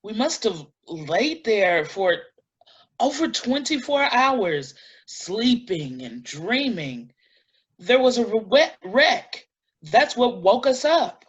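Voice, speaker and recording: Slightly muffled, slow-spoken shaken woman played by an actress. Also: on the high side, very animated, breathy edge, exaggerated voice. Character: shaken, earnest woman recounting an eerie night in woods. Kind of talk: dramatic staged play reading, naturalistic testimony.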